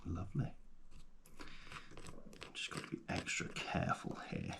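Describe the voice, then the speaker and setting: A man playing a genial, gentle paleontologist in an ASMR roleplay, speaking softly, often in a whisper, with an unhurried, hesitant and gently delighted manner. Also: noisy background, natural voice. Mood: hushed, careful. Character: genial, gentle male paleontologist guiding a trainee.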